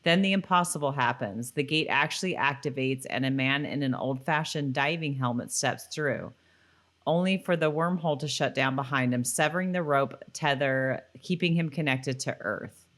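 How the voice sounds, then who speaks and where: A woman's voice: a bass voice, normal speed, fairly bright tone. A woman co-hosting a podcast, talking casually as she shares thoughts and recaps an episode. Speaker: podcast co-host. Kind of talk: casual chat and read episode summary.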